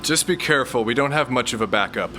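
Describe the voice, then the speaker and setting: A man's voice: mid-range voice, lively intonation, fast talker, over music, close to an everyday voice. A man playing a hesitant, stammering young man in a comedic audio drama. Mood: sheepish.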